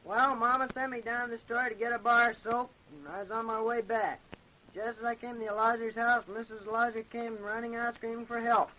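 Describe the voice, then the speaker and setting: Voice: mid-range voice, flat delivery, fairly quick, bright, forceful tone, natural voice. A performer playing a polite, earnest young American boy witness in an old-time radio drama. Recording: noisy old radio recording with clicks.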